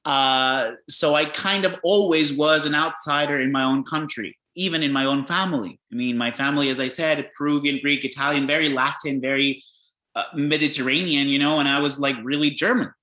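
A man from Peru, a podcast guest, talking about his life in a reflective, hesitant way with many pauses and restarts. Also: on the high side, bright, forceful tone, echoey room. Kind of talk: casual conversation.

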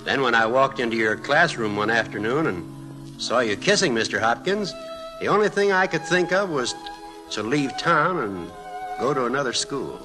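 A man played by an actor recalls his youth in rueful storytelling fashion, as in a 1940s radio drama. His mid-pitched voice moves with lively inflection, and music plays clearly behind him. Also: normal speed, lightly acted, rough recording. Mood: rueful reminiscence. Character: grown former pupil, fondly recalling youthful lovesickness.

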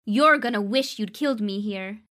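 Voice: mid-range voice, expressive, a little slow, long reverb tail, pitched above the performer's own voice, lightly acted. A narrator voicing a bold, defiant young woman gunslinger in a dramatic audiobook. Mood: taunting. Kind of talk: dramatic audiobook character acting.

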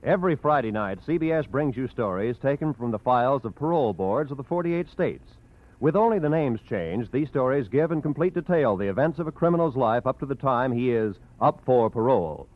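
Male 1940s radio announcer, formal and crisp, lively pitch, muffled old broadcast. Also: a little fast.

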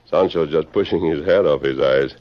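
An actor playing a steady, commanding masked Western hero with a firm, courteous manner in an old radio drama. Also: male voice, extremely low pitch, moderate pace. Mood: courteous, inquiring. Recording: muffled old radio recording.

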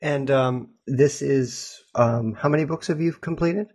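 A man's voice: mid-range voice, unhurried, a little mellow. Male podcast host, hesitant, lots of ums, plain mid voice. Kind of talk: interview hosting.

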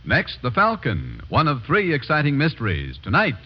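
A man's voice: medium pitch, wildly swooping pitch, moderate pace, breathy edge. An American man working as a radio announcer, delivering scripted lines in 1940s broadcast style. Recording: dull vintage broadcast, music behind.

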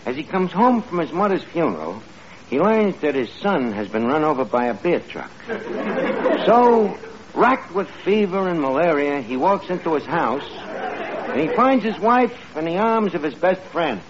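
An actor playing a brash, blustering New York tavern manager with slangy, ungrammatical speech and highbrow pretensions, in old-time radio comedy style. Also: male voice, sing-song, moderate pace, crowd noise. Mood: self-important. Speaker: comedy show lead. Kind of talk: 1940s-50s radio comedy acting. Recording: muffled vintage radio recording with noisy studio audience.

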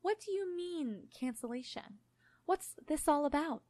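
A woman's voice: mid-range voice, sing-song, fast talker, no echo, close to an everyday voice. A woman narrator voicing a frank, argumentative and slightly condescending young woman in a story. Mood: gently condescending.

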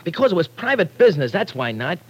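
An actor playing an evasive, slangy errand runner in an old-time radio drama, stammering in streetwise slang. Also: male voice, a little high, big pitch swings, fast talker, slightly rough, lo-fi sound. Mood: defensive. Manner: streetwise slang, hesitant and stammering under pressure.

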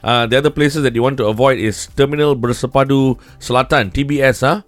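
A man's voice: medium pitch, steady tone, quick pace, dry recording. A man with a Malaysian accent presenting on radio in a casual, conversational, wry way.

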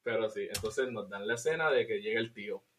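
A man's voice: on the low side, fast talker, heavy background noise. Puerto rican guy cohosting a movie podcast, laughs a lot, mixes in english. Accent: Puerto Rican Spanish. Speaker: podcast co-host. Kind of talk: casual chat, film review.

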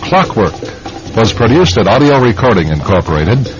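A man's voice: lowish voice, big pitch swings, normal speed. A man reading scripted credits as the director and announcer of a radio drama series. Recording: muffled radio sound under music.

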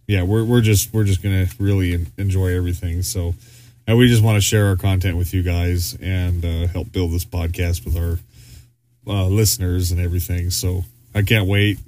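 Rambling man with a low voice. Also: a little mellow, slight background noise. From Arizona, US. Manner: rambling, many fillers and restarts. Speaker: podcast co-host. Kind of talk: casual podcast chat.

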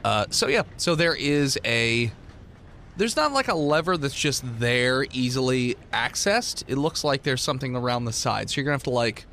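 A man's voice: medium pitch, expressive, normal speed, bright, forceful tone, a little hiss or hum. A man running a tabletop game as game master, improvising narration in a casual, sweary way.

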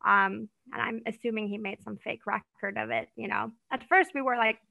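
A woman's voice: fairly high pitch, steady tone, moderate pace, creaky, bright, forceful tone, no echo. A woman from Iran, a podcast guest, chatting casually about travel with frequent "like"s and restarts. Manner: chatty, lots of likes and restarts.

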